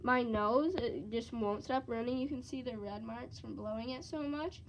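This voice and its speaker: A teenage girl vlogging casually with a stuffy, congested voice from a cold, chatty and excitable. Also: fairly high pitch, normal speed, very noisy. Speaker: slime vlogger. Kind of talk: casual vlog chat.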